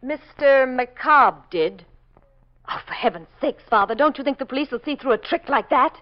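An anxious young woman presses on with earnest, hesitant questions. Her voice is very high, acted in 1940s radio mystery style on a muffled old recording. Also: lively intonation, normal speed. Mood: accusing, worried. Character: anxious, persistent young woman investigating a murder.

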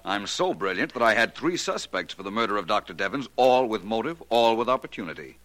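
Suave, deep-voiced radio detective. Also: male voice, lively intonation, a bit bright. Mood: wry, amused. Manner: wry, urbane, measured. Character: suave, self-assured gentleman detective. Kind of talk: 1940s radio drama acting. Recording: vintage 1940s radio recording.

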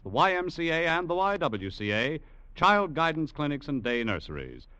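An American male announcer from 1940s radio. His voice is bright and lively in pitch, formal and emphatic, recorded close and dry. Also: no room sound, dull audio.

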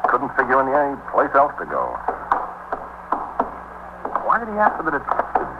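An actor playing an urgent narcotics detective in a 1950s radio drama, hurried and deferential to his superiors. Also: medium pitch, wildly swooping pitch. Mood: earnest, hurried. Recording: tinny, noisy 1950s radio recording.

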